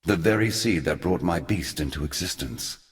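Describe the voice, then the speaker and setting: A man playing an ancient, forgotten god in a video game, somber and weary, speaking solemnly and deliberately in archaic, portentous phrases. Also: low-pitched, lively intonation, big-room sound. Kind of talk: scripted video game character dialogue.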